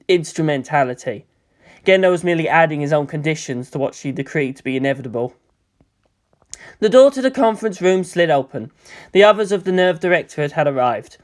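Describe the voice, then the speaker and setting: A narrator reading a scripted story aloud as an audiobook of fan fiction. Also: medium pitch.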